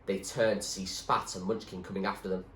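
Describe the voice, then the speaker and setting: A man giving an expressive narration of a storybook, like a teacher reading aloud. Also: sits low, brisk. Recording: echoey room, faint background noise.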